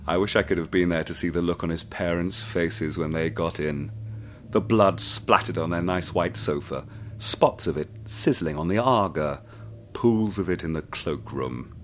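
An actor narrating as a cold, self-satisfied killer, confessing in a slow, brooding way. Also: male voice, low voice, slight background noise. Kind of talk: dark first-person narration in an audio drama.

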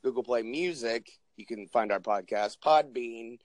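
Hoarse young male co-host, loose and profane, dry close sound. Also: mid-range voice, measured pace, no room sound. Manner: joking, profane, rambling plugs. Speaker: podcast co-host. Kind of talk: casual chat.

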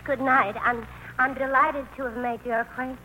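An actress playing a self-effacing, nervous fiancée with halting speech, in 1940s radio style. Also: female voice, high voice, fairly even intonation, bright, forceful tone, a little hiss or hum, rough recording. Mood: nervous, brave.

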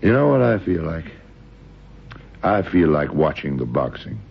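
A man acting as a gruff, bossy blind detective in a 1960s radio drama, terse and colloquial. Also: extremely low pitch, very animated, unhurried, exaggerated voice. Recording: dull, noisy old radio drama recording.

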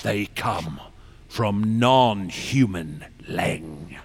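Gravelly, fanatical artist of monsters. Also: male voice, lowish voice, lively intonation, drawn-out words, exaggerated voice. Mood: secretive, agitated. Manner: rambling, stammering, feverishly intense. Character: fanatical, temperamental male artist of monstrous museum exhibits. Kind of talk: radio drama acting.

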